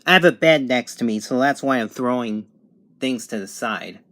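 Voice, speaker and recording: A man giving a casual, unscripted product review at home, thinking aloud in halting phrases. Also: mid-range voice, fairly bright tone, no echo, clean studio sound. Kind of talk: casual unboxing and review narration. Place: home bedroom, indoors.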